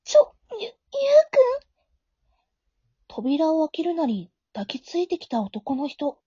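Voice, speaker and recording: A woman playing a flustered, caring high school girl who narrates her own story in an amateur audio drama, in a soft, girlish, expressive way. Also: slow pace, no room sound, heavily acted. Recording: dull, lo-fi home recording.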